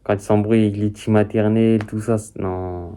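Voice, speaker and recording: A man's voice: fairly low pitch, level tone, a little fast, dark tone, slight background noise. A young man giving casual, rambling reaction commentary in slangy, repetitive phrases. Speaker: reaction video host.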